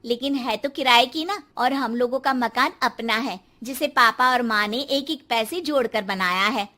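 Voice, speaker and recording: Young cartoon sister-in-law played by a woman: very high, bright, sensible, pert. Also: moderate pace. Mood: firm. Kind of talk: cartoon moral-story voice acting.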